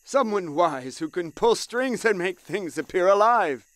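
A man's voice: high-pitched, animated, measured pace, a bit bright, no room sound. An actor playing a welcoming innkeeper in a puppet film, inviting and theatrical as he promises marvels.

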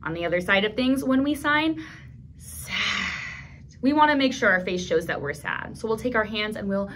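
A woman in her thirties hosting a children's storytime, talking in an encouraging, animated, instructional way to toddlers and their grown-ups. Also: mid-range voice, normal speed, a little hiss or hum. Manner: animated, encouraging, simple clear phrasing for young children. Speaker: children's storytime host at a library. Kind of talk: instructional talk to toddlers and caregivers. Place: small indoor room.

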